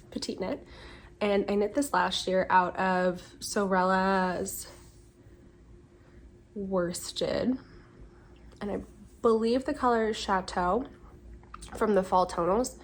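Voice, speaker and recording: A woman's voice: mid-range voice, slight background noise. A woman in her twenties hosting a podcast in a casual, rambling way, a bit congested from a cold, with many ums and self-corrections. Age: 20s. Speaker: podcast host. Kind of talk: casual chat. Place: home during a windy day.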